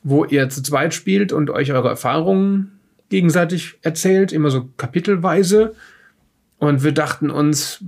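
A man's voice: a little high, gravelly. A man co-hosting a podcast, chatting casually. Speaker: podcast host. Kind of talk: casual chat.

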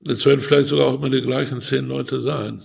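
An older man speaks as an interview guest with a deeply gravelly, husky voice. He thinks aloud haltingly, hesitating often and ending phrases with small questioning tags. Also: flat delivery. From Ruhr region, Germany. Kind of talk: conversational interview.

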